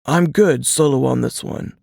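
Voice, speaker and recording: A narrator voicing a weary, capable male field agent, speaking in a hushed, clipped way in a suspense audiobook. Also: mid-range voice, moderate pace, vocal fry, no echo, clean studio sound. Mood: quiet, confident. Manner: hushed, clipped radio-protocol delivery.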